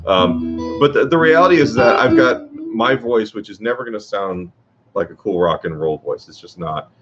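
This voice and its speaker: A man teaching guitar in a casual workshop talk, with a low voice and a rambling way of speaking, full of fillers and restarts. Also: animated, normal speed. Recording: dull lo-fi sound.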